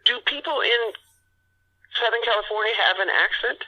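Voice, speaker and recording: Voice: mid-range voice, normal speed, husky, dull audio. A host in casual chat, asking curious short questions.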